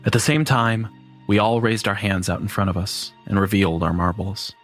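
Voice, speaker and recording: A man's voice: fairly low pitch, fairly even intonation, moderate pace, a bit bright, faint music behind. A man narrating a scripted first-person horror story.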